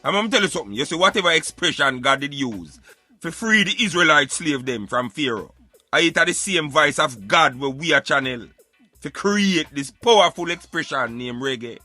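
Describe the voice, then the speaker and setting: A man's voice: on the high side, lively intonation, moderate pace, pushed and bright, no echo, soft background music. A man speaking Jamaican Patois in an emphatic, argumentative, preaching way, as in opinionated talk show commentary. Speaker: radio show host.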